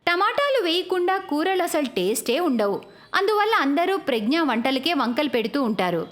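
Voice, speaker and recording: High-voiced woman narrating a cartoon. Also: big pitch swings, normal speed.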